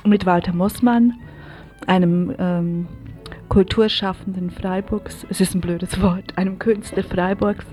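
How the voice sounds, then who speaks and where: A woman's voice: fairly low pitch, steady tone, a little slow, mellow, faint music behind. A woman co-presenting an informal radio magazine show from a studio. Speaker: radio co-host.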